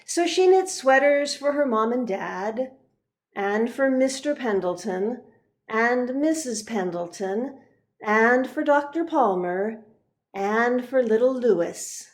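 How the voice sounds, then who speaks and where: Slow, deliberate woman reading a storybook. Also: a little high. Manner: expressive, deliberate, with pauses for emphasis. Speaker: reverend reading a picture book. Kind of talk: children's storybook narration.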